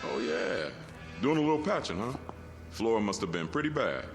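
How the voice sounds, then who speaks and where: An actor playing a chatty, easily impressed meter reader in a radio drama, folksy, jovial and exclamatory. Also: male voice, lowish voice, big pitch swings, moderate pace. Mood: chatty, amazed. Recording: music under the voice.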